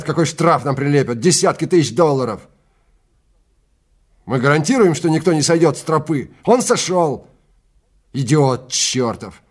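A man acting a furious, barking safari guide, pitch leaping. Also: darkish tone. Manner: barking orders, cursing. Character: furious, domineering male time-safari guide, harsh and threatening. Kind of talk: dramatized science fiction audio story.

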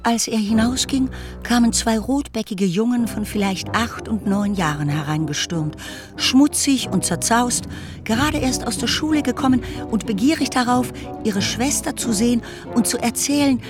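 A woman's voice: on the high side, lively intonation, moderate pace. A woman reading scripted literary narration as the narrator of a radio drama. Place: studio. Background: music.

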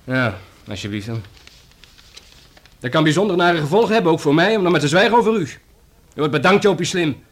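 An actor playing a sarcastic, defiant smuggling suspect in a radio play, with a Netherlands Dutch accent and an indignant manner. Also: male voice, a little high, expressive, fairly quick, pauses often.

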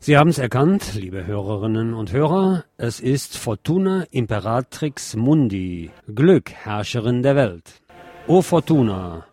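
A man's voice: mid-range voice, sing-song, a little slow, darkish tone, dry recording. A man hosting a radio show, giving cultural commentary in a wordy, formal way with ironic asides. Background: music.

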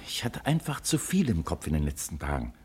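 An actor playing an earnest, polite husband who confides in a friend, in an old German radio crime play. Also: male voice, rumbling low, very animated, brisk, darkish tone, breathy edge, heavily acted. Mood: troubled, confiding. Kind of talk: mid-century German radio crime drama acting.

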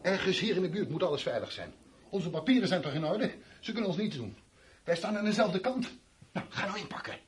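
An actor playing an anxious, irritable man fleeing war in a radio play, with a husky voice. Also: high-pitched, animated, brisk, exaggerated voice. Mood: urgent, bitter. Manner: agitated, commanding, brooding when narrating. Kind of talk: Dutch radio play acting.

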